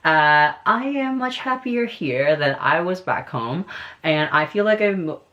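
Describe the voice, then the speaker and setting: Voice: mid-range voice, animated, a little slow, sharp tone, muffled recording. A young adult from Hong Kong giving candid advice in a casual vlog, talking in a chatty way with frequent ums and likes. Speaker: vlogger.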